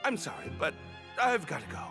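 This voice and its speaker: Jittery game prisoner: high male voice, wildly animated pitch, evasive, music behind. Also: a little fast, heavily acted. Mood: flustered. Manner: nervous, evasive. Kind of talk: video game voice acting. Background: clear music.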